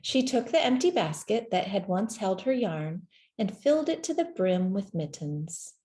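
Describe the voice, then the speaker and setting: A woman reading a children's story aloud in a gentle, measured way, with deliberate pauses between phrases. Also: mid-range voice, big pitch swings. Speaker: storybook reader. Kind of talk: scripted children's story narration, read aloud.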